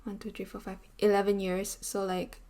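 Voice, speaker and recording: A woman in her twenties with a Malaysian accent, chatting casually to camera in an animated way, full of "like" and "you know". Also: mid-range voice, normal speed. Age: 20s. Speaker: vlogger. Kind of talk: casual talking-head vlog. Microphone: camera mic, seated on a chair.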